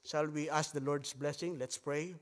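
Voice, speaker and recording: A man's voice: on the high side, steady tone. A man from the Philippines with a Filipino accent and Tagalog as his first language, preaching a sermon at a pulpit microphone with frequent hesitations. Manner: halting delivery with frequent hesitations; softer, hushed tone while praying. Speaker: visiting missionary pastor. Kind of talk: sermon with Bible reading and prayer. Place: church sanctuary. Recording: long church hall reverb.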